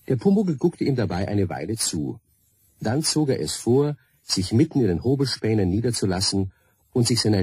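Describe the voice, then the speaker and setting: A man with a husky voice narrating a children's radio play in a playful, wry storytelling manner. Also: on the low side, dry recording, heavily acted. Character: wry male storybook narrator, friendly, unhurried.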